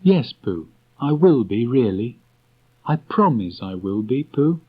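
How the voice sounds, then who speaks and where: A man puts on a tender, dreamy young boy from a storybook. The voice is raised high, halting and earnest. It comes from a dull cassette tape. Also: very animated, husky, full character voice. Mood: hesitant, tender. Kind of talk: storybook character voice.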